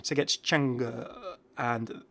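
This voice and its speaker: A man's voice: medium pitch, steady tone, extremely slow, creaky, fairly bright tone. A man hosting a gaming video with casual, unscripted commentary, chatty and musing aloud, often breaking off mid-thought.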